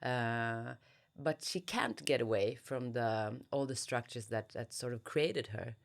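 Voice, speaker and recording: Woman author being interviewed, talks slowly, pauses a lot hunting for words. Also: very deep voice, vocal fry. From Sweden. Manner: halting, thoughtful, searching for words. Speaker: interviewed novelist. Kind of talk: reflective literary interview.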